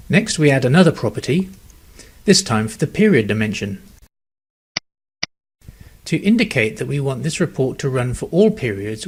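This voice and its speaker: A man narrating a scripted software tutorial in a measured, step-by-step instructional manner. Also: mid-range voice, husky.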